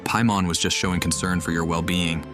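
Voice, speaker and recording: A man with a low voice plays a video game, reading dialogue out and commenting casually. Music is clearly heard behind him. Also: fairly even intonation, a little fast.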